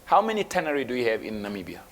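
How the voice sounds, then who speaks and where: A man's voice: medium pitch, wildly swooping pitch, rapid-fire, a little hiss or hum. A man speaking as an investment banker on a panel, emphatic, with rhetorical questions and frequent restarts. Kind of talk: motivational panel talk.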